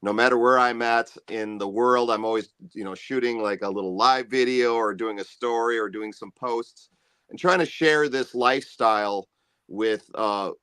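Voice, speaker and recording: A man with a low bass voice, a musician guest chatting in an easygoing, rambling way about his music. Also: moderate pace, sharp tone, smooth, no room sound. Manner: easygoing, rambling, self-promoting. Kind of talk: casual interview chat.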